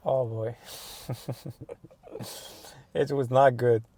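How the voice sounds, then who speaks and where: A man's voice: medium pitch, steady tone, drawn-out words, mellow, dry recording. A man in his twenties from Morocco, answering interview questions casually, with many restarts and "like"s. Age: 20s. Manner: hesitant, restarts often, uses "like" and "you know". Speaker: interview guest.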